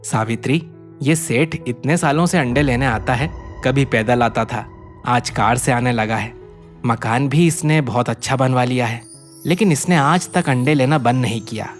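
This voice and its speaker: A man's voice: mid-range voice, normal speed, natural voice. Humble village egg seller, voiced by a man, music behind. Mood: wondering.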